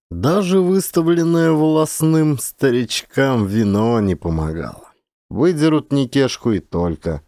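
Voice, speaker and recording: A man narrating a literary story as a dramatized audiobook, with expressive, theatrical delivery. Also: medium pitch, dry recording, clean studio sound.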